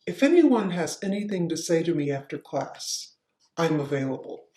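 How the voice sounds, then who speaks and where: A woman voicing a puzzled male schoolteacher in a storybook reading, dry and measured. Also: low voice, sing-song, warm tone, pitched below the performer's own voice. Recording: roomy echo.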